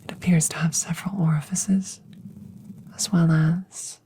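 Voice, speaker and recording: Alien researcher, played by a woman: female, low and dark-toned, hushed, clinical yet curious, slow deliberate phrasing for close-up sci-fi ASMR. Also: steady tone, a little hiss or hum. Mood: fascinated, hushed. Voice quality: soft, often whispered close to the mic. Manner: slow, measured, formal, inquisitive. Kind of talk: ASMR roleplay. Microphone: close microphone.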